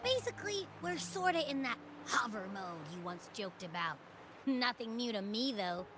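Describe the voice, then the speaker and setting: A small, cheeky video game sidekick. The voice is high and excitable with a breezy, slangy delivery, and music plays behind it. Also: female voice, moderate pace. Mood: cheeky, excited.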